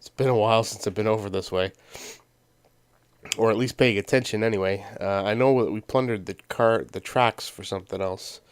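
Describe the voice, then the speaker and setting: A man's voice: lowish voice, brisk, clear, resonant tone, dry recording. A man hosting a gameplay series in casual, rambling commentary with a stuffy nose from a sinus cold. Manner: rambling, many ums and uhs. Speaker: let's play host.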